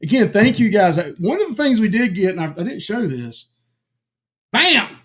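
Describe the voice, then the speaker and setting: A man with a high-pitched voice hosts a live stream and chats loosely with his viewers. He calls out names, says "um" and "uh" often, and sounds a little hoarse because his voice is giving out. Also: big pitch swings, moderate pace, fairly bright tone. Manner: chatty, greets viewers by name, many fillers. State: losing his voice. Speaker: livestream co-host. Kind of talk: casual live-stream chat.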